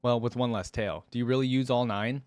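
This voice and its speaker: A man reading aloud the part of a sly, smooth-talking woman fortune teller in a story. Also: medium pitch, fairly even intonation, fairly quick, a little mellow, dry recording, natural voice. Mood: smug, sly.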